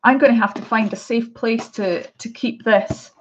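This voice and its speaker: A woman's voice: fairly high pitch, fairly even intonation, normal speed, highs cut off, noisy background. A middle-aged Scottish woman chatting casually through a live unboxing, with many hesitations and restarts. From Scotland. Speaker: art vlogger.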